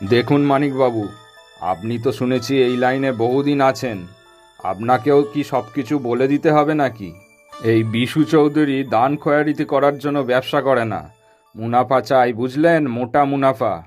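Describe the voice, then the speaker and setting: A man's voice: mid-range voice, moderate pace, soft background music, close to an everyday voice. A man playing a greedy, self-important businessman in a cartoon, demanding and domineering. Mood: demanding, smug. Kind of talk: cartoon voice acting.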